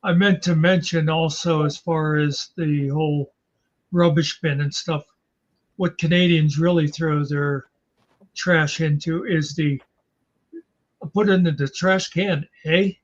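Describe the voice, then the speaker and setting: A man's voice: fairly high pitch, steady tone, unhurried. An American man joking wryly in casual panel banter, on a slightly dull, lo-fi mic. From United States. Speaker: talk show panelist.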